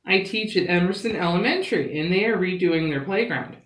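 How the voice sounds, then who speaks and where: A woman's voice: on the low side, expressive, fairly quick, close to an everyday voice. A woman voicing a helpful female neighbour who offers suggestions in a children's storybook. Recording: roomy, echoing sound.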